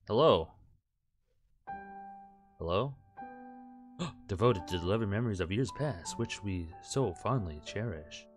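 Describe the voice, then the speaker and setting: A man's voice: on the low side, lively intonation, unhurried, pauses often, soft background music. A man doing let's play commentary, reading game text aloud with crude jokes and the odd stumble. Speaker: gameplay host.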